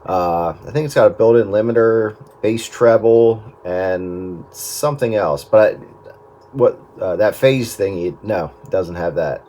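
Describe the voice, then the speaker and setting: A man chats casually over two-way radio as an amateur operator, explaining things in a halting way. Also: fairly low pitch, dark tone, a little hiss or hum. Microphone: desk radio microphone.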